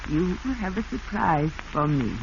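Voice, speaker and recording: A man's voice: sits high, animated, measured pace, vocal fry, heavily acted. An actor, a man by the sound, playing a trusting companion in a 1940s radio drama. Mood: trusting, curious. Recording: muffled, noisy 1940s radio recording.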